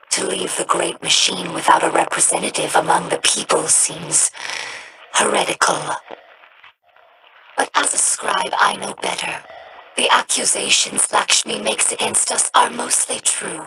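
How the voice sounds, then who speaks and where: A woman voicing a young female alien scribe in a game, earnest and devout, speaking in a measured, reverent way. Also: lowish voice, vocal fry. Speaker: game character. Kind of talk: game voice lines. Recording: noisy game audio rip.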